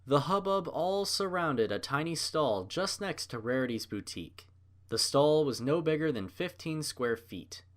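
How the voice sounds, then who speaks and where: A man's voice: mid-range voice, a bit bright. A man reading a story aloud as narrator, in a scripted storybook style.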